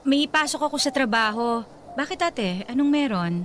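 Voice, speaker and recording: Nosy younger sister, high bright voice, Filipino accent, concerned, outspoken, radio drama. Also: female voice, moderate pace, a little hiss or hum. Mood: curious. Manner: probing, expressive. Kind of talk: Tagalog radio drama acting.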